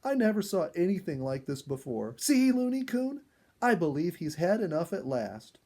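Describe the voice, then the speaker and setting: A man's voice: high-pitched, sing-song, normal speed, warm tone, pitched above the performer's own voice, heavily acted. A man voicing an eager, wide-eyed young girl in a storybook, exclaiming insistently. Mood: pleading wonder.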